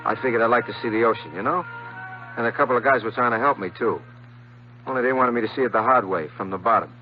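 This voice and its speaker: An American actor playing a breezy, flirtatious, slangy drifter working as a steward in a 1950s radio drama. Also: male voice, mid-range voice, fast, natural voice. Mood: wry. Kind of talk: 1950s radio drama acting, hardboiled first-person narration. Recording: dull old 1950s radio recording with music.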